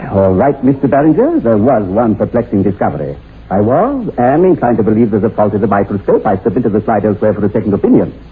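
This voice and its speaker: A baffled, formal doctor, with a lowish voice that rises and falls sharply. He speaks haltingly in a radio play, on a tinny recording. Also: sing-song. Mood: baffled, reluctant. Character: perplexed, hesitant male physician. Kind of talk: radio drama acting. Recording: tinny, hissy old radio drama recording.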